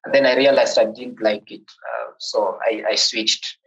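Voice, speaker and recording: A man's voice: mid-range voice, fairly even intonation, a little slow, a bit bright, dull audio. Kenyan man telling his story. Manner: reflective, repeats words when starting sentences. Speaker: guest. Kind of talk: recounting his life story.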